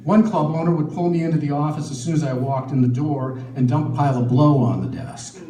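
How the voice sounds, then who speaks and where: A man's voice: fairly high pitch, fairly quick, dark tone. Echoey middle-aged American man reading aloud. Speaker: author reading from own book. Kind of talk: live literary reading of a personal memoir. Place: live event in a gallery. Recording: echoey live room, distant mic, faint noise.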